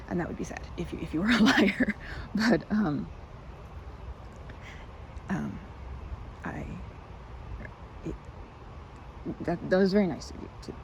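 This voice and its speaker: Woman acting a timid young woman, rambling and stammering, highly animated intonation, creaky edges, nature ambience behind, ASMR roleplay. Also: noisy background. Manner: flustered, stammering, chattering and apologetic. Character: timid, rambling young woman, excitable park regular who loves ducks. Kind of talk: ASMR roleplay, one-sided acted conversation.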